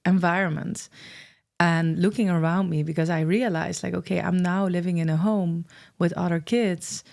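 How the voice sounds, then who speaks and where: A woman's voice: on the low side, steady tone, normal speed, creaky, darkish tone. A middle-aged woman with a Dutch accent, openly and reflectively telling her life story as an interview guest. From Netherlands. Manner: open, reflective storytelling with fillers. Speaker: guest, founder of a travel business. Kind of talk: candid interview conversation.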